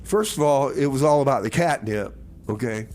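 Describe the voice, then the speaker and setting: A man's voice: medium pitch, animated, moderate pace, a little mellow. An American man hosting a radio show with casual, rambling banter and a hesitant, exclaiming way of talking. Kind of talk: casual radio banter and song back-announcing. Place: small radio station studio.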